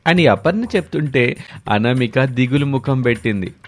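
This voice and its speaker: A man's voice: medium pitch, expressive, moderate pace. A man reading scripted narration for a moral story as the storyteller.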